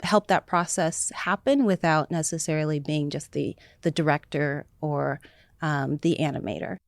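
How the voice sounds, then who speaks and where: A woman with a lower voice talks as a guest in a relaxed interview. She is warm with enthusiasm and rambles a little, filling pauses with small words. The sound is dry and close. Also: vocal fry, dry recording. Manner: enthusiastic, rambling with many fillers. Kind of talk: casual interview chat.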